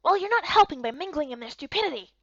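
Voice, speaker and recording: A touchy, protective young guy from a fantasy game, played by a woman, talks bluntly in a high, animated voice. The sound is dry and slightly dull. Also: fast talker, no echo. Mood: irritated.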